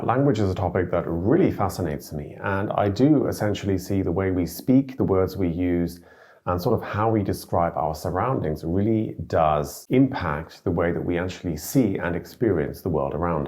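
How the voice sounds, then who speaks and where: Man, deep voice, dry humor, measured vlog narration. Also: a little mellow. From Britain. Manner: dry, wry asides. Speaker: vlogger. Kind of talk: scripted commentary to camera.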